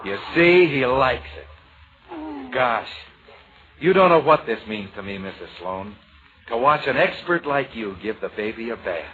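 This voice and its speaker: Eager, folksy American young man, lively pitch. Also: measured pace. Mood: eager, playful. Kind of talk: 1940s radio comedy acting. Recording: muffled, noisy old radio broadcast.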